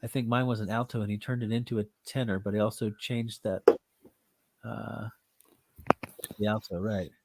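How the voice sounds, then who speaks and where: A man with a cold, leading a casual online music class in a loose, halting way, heard over a computer mic. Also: on the low side, vocal fry, very noisy. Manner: relaxed, loose and halting with fillers. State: stuffed up with a cold. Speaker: class teacher.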